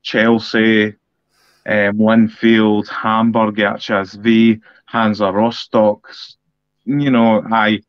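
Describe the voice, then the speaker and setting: A man's voice: on the low side, level tone, slow, clear, resonant tone, no echo. Blunt Scottish man, muffled dry sound. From Scotland. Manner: blunt, emphatic, repeats himself for effect. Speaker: political podcast co-host. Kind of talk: casual political discussion. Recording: dull, dry lo-fi audio.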